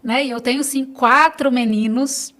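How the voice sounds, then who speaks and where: A Brazilian woman with a slightly high voice speaks as a guest in a casual conversation. She talks in a personal, informal way and often repeats phrases to stress a point. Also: fairly even intonation, measured pace, fairly bright tone. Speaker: podcast guest, business owner.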